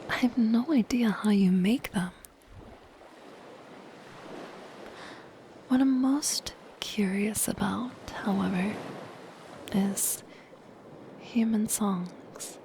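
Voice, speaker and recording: Woman as a slow, whispering siren over surf. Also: medium pitch. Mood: curious, shy. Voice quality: breathy, soft, mostly whispered. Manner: slow, gentle, coyly possessive. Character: soft-spoken lonely siren, menacing yet shy and curious. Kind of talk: ASMR roleplay. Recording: ocean surf noise behind the voice. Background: ocean waves.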